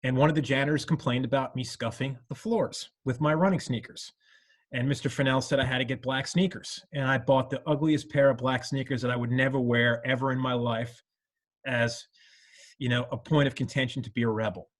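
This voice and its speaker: A middle-aged man from New York speaks reflectively, looking back with thanks and a bit of apology. He talks in long, rambling stretches full of fillers, at an ordinary male pitch. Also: fairly even intonation, a little fast. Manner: earnest, rambling with many "you know" fillers. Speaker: alumnus panelist. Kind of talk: reflective conversational talk.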